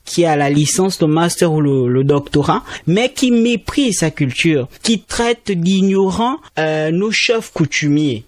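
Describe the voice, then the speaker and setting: A man's voice: animated, measured pace, hardly any pauses, dry recording. A man, a student trainee explaining conservation as a radio interview guest, speaking emphatically. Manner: explanatory, emphatic with rising pitch.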